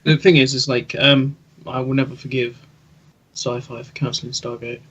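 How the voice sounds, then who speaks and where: A man's voice: medium pitch, level tone, moderate pace, a bit bright, slightly rough, muffled recording. A man chatting casually as a panelist on a podcast. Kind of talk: casual chat.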